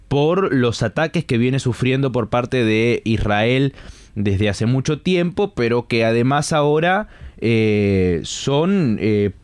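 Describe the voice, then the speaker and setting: Halting Rioplatense radio interviewer, male. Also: mid-range voice, clean tone, no room sound. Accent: Rioplatense Spanish. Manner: halting, searching for words. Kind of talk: live radio news interview.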